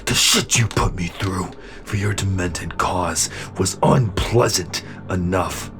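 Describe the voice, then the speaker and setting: A man playing an embittered, defiant sergeant in a sci-fi audio drama, with a gruff, sardonic way of talking. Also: low-pitched, slow pace, over music. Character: embittered male special-forces soldier, a defiant sergeant.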